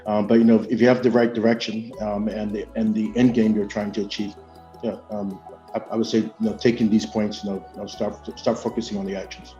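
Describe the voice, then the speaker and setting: An American man answering as an industry panelist, measured and hesitant, with frequent filled pauses. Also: on the low side, flat delivery, warm tone, faint music behind. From United States. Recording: muffled, echoey online audio.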